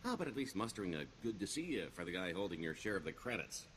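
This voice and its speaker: A man's voice: sits low, sing-song, fast, a bit bright, slight background noise. A man playing a roguish middle-aged career criminal in a video game, wry and boastful, with a wheedling, theatrical sarcasm.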